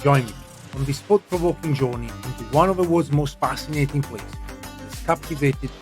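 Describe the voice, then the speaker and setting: A man hosting a podcast, reading a scripted introduction with deliberate, dramatic pacing, then asking the guest questions. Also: mid-range voice, faint music behind. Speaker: podcast host.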